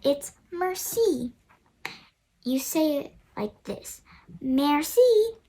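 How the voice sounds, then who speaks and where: A girl of about nine reading a picture book aloud, careful and a little halting as she goes. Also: on the high side, sing-song, fairly bright tone, slightly rough, low-quality recording. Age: child, about nine. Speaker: young reader of a picture book. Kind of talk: storybook read-aloud narration.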